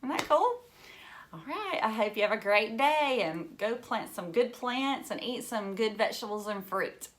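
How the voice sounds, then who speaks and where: A woman's voice: fairly high pitch, lively intonation, moderate pace. A woman teacher reading a children's book aloud, adding simple, encouraging explanations in short phrases and putting questions to young listeners.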